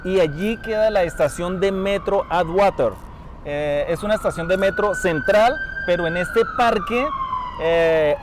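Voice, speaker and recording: Man, high-pitched, casual street vlog host, friendly questions, outdoors, faint city noise. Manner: chatty, laughs often, friendly questions. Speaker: vlogger host. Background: faint traffic noise.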